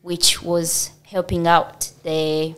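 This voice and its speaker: A Ugandan woman shares her life story as a podcast guest. She speaks slowly and hesitantly, filling pauses with "um". Her voice sits a little low and stays flat and even. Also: clear, resonant tone. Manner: hesitant, pauses with many fillers. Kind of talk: personal storytelling in an interview.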